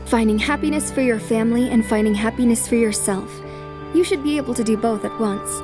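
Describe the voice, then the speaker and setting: Woman acting a poised, fairly high-voiced young noblewoman. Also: fairly quick, music in the background, lightly acted. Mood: calm resolve. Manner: formal, calm, resolute. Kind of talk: anime fan dub acting.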